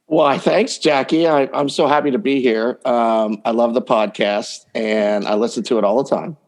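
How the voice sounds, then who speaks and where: A man's voice: mid-range voice, steady tone, normal speed. A man talking casually and explaining his coaching work as a guest in an interview. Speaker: dating coach, podcast guest.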